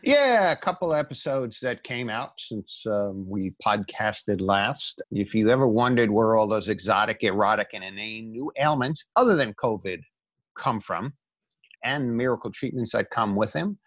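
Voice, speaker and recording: A man with a slightly low voice, recorded dry with little room sound. He speaks as a podcast co-host in a casual, conversational style. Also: expressive, normal speed, fairly bright tone, no echo.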